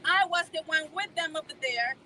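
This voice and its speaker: A woman's voice: high voice, fairly quick, sharp tone, slight background noise. A woman from El Salvador, speaking earnestly at an outdoor press conference and often restarting her sentences. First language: Spanish. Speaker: healthcare worker, TPS holder speaking. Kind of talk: impassioned press conference speech.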